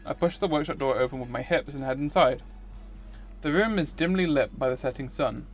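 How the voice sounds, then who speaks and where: A man's voice: medium pitch, a little fast, soft background music. A man streaming a visual novel, reading its narration aloud. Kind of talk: scripted narration read aloud.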